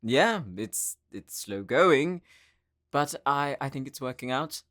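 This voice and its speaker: A man's voice: medium pitch, expressive, a bit bright, dry recording, clean studio sound. An actor playing an earnest, bashful young male demon hunter in an audio roleplay drama, speaking hesitantly. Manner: hesitant, halting.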